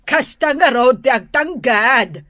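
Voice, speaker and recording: A woman's voice: sits high, animated, slow, fairly bright tone, no echo, clean studio sound, exaggerated voice. A woman playing a doting, fussy grandmother in Ilocano radio drama, exclamatory and melodramatic, with an Ilocano accent. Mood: bossy, fussy. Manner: gushing, exclamatory, sing-song wailing.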